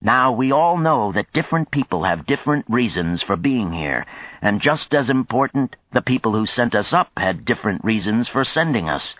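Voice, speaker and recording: An exhilarated male space colonist acted by a man reading an audiobook delivers impassioned oratory. The voice is lifted in pitch and declamatory. The sound is roomy and a touch muffled. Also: natural voice. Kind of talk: audiobook character dialogue.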